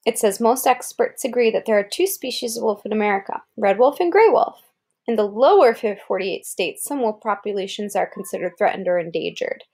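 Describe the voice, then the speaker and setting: A woman reading an article aloud as if to a child, pausing to explain words and point things out. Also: mid-range voice, expressive, warm tone. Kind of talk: read-aloud narration with casual asides.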